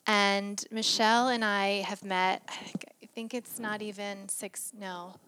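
A woman's voice: medium pitch, flat delivery, unhurried, a little hiss or hum, low-quality recording. A woman hosting a podcast, interviewing a friend in an eager, encouraging way. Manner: warm, encouraging, eager listener. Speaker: podcast host. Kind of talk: casual interview.

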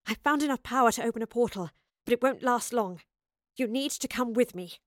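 A woman's voice: on the high side, a little fast, dry recording, studio quality, natural voice. Woman acting a firm, urgent female officer. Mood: urgent, breathless. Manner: firm, urgent, plain-spoken.

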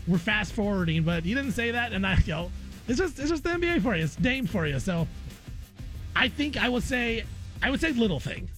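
A man's voice: extremely high pitch, sing-song, fairly quick, a bit bright, soft background music. An American man co-hosting a sports talk show, discussing basketball casually and with opinion, often repeating phrases for emphasis.

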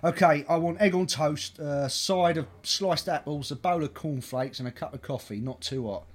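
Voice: mid-range voice, normal speed, natural voice. An actor playing a polite but offended restaurant customer in a comedy sketch, plain-spoken and indignant. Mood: put out. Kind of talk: adult comedy sketch acting.

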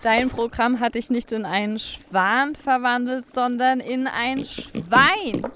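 A woman's voice: a little high, slow pace, pushed and bright, a little hiss or hum. A woman co-hosting a children's science podcast, playful and explanatory in a scripted audio play. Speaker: podcast co-host.

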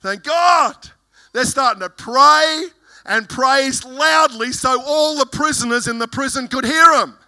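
Male pastor, loud voice, fervent sermon delivery, high pitched and piercingly bright. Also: measured pace. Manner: fervent preaching, reading scripture verses aloud.

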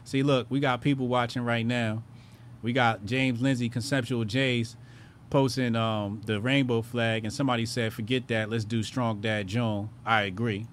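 A man hosting a call-in show, bantering loudly with callers, laughing and swearing in slang. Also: medium pitch, slight background noise.

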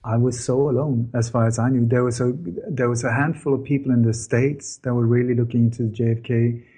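A man's voice: mid-range voice, flat delivery, brisk, dark tone, husky. A middle-aged man, telling his story to an interviewer in an earnest way with many fillers and self-corrections. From born in Denmark, grew up in Sweden. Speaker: interview guest, former journalist.

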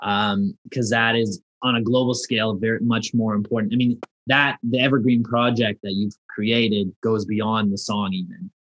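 A man's voice: on the low side, flat delivery, normal speed, hardly any pauses, fairly bright tone, dull audio. A man in his early thirties hosting a podcast, interviewing in a conversational way and sharing chatty personal anecdotes. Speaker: podcast host.

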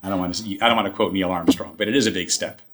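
A man, a company chief telling his story in a casual interview, halting and restarting often, with dry humor. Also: sits low, fairly even intonation, noisy background. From New York, USA. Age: 60s. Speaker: guest, company CEO.

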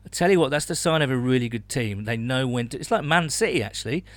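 Male British sports podcast host, mid pitch, enthusiastic and matey, emphatic delivery, dead-dry recording. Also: lively intonation, fast, no echo. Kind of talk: casual sports chat.

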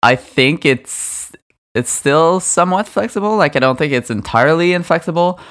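A man's voice: on the high side, animated, moderate pace, a bit bright. A young man co-hosting a casual podcast, chatty and filling his talk with likes and ums. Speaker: podcast co-host, product designer. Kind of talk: casual chat.

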